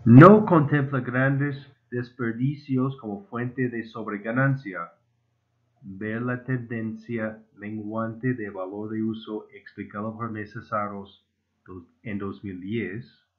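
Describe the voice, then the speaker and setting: A man who speaks as a second-language speaker, reading a formal scripted lecture in a deliberate, slightly stumbling way. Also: fairly low pitch, steady tone. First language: not Spanish (Spanish is his second language). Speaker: amateur inventor presenting his own proposal. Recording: muffled, phone-like narrow band.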